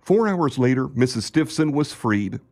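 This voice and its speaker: A man's voice: mid-range voice, sing-song, normal speed, a little mellow. A man reading scripted narration and announcements for a radio play. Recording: music under the voice. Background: music.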